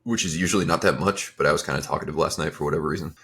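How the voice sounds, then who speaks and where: Man, young adult, podcast host, rambling casual talk, slightly raspy strained voice, husky tone. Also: very deep voice, racing. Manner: relaxed, rambling, conversational. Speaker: podcast host and fitness coach.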